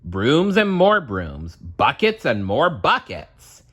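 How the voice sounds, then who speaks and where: A man reads a children's story aloud with deliberate drama. His voice is husky and unhurried, and the intonation rises and falls very widely. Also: mid-range voice, wildly swooping pitch, a bit bright. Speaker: storybook narrator. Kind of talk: children's read-aloud narration.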